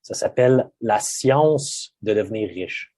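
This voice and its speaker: A man's voice: lowish voice, expressive, slow, mellow, no echo. A man with a Québécois French accent, a guest speaking reflectively in casual conversation, with frequent hesitant restarts.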